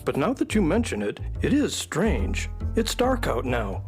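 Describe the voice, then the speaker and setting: A man playing a grumbling but friendly male space horse sidekick in a children's radio drama, expressive and indignant. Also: fairly high pitch, a little slow, soft background music. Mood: puzzled.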